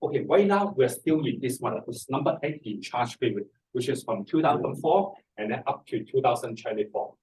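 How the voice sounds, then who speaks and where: A middle-aged or older man with a Hong Kong accent, speaking haltingly and repeating words as he presents an informal seminar. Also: medium pitch, animated, a little mellow. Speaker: feng shui master, seminar speaker. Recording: distant microphone in a room.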